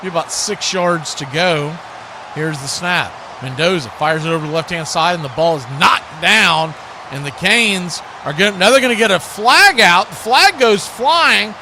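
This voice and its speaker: High-pitched American man calling football, noisy audio. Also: lively intonation, moderate pace. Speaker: play-by-play sports commentator. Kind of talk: live American football play-by-play commentary with casual asides.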